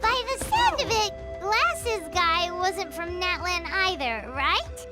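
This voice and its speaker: An eager young village girl from a video game, very high and childlike. She tells her tales earnestly, with long reverb and music behind her. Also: sing-song, takes it slowly, full character voice. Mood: friendly, curious.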